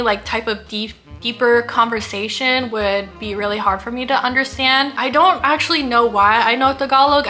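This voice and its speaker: A chatty woman in her thirties from the Philippines, whose first language is Kapampangan, talks casually to the camera, often using "like" and sometimes stumbling over her words. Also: a little high. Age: 30s. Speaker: vlogger. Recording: soft background music.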